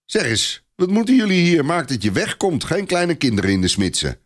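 A man voicing a gruff, boastful army sergeant who snaps and brags in a storybook tale. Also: a little high, big pitch swings, moderate pace, pitched above the performer's own voice. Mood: snappish.

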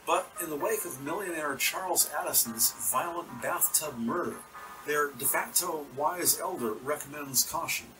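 A man's voice: on the high side, normal speed, far from the mic, rough recording. Male detective-story narrator over music. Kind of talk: scripted present-tense detective story narration.

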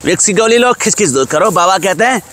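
A young man with a Punjabi accent, vlogging outdoors by a lake, cheering and teasing a stranger in excited banter. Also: sits high, quick pace, soft background music. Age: young adult. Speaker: vlogger prankster. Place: outdoors on a lakeside promenade. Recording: faint outdoor noise.

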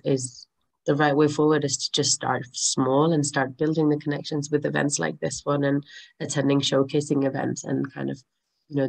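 A woman speaking on an online panel with a croaky voice, in a reassuring, thoughtful way. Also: sits low, a little mellow.

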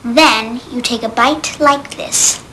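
A woman playing a patient mother in a sitcom, calmly demonstrating at the dinner table. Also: fairly high pitch, takes it slowly, a little hiss or hum, rough recording. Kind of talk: sitcom acting.

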